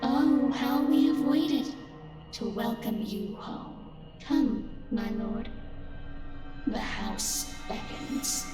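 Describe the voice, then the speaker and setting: A woman's voice: on the high side, animated, drawn-out words. Heavily echoing ghost woman. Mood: menacing, beckoning. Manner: archaic, ominous. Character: horrific, menacing ghostly woman, possessively devoted. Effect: heavy ghostly echo. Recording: heavy echo over prominent music.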